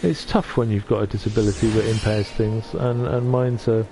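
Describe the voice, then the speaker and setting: A man from North Wales streaming from his sofa at home. He chats gently with his viewers and often stops and restarts. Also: lowish voice, little pitch movement, normal speed, noisy background. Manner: gentle, hesitant, with many restarts. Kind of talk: casual chat reading out viewer comments.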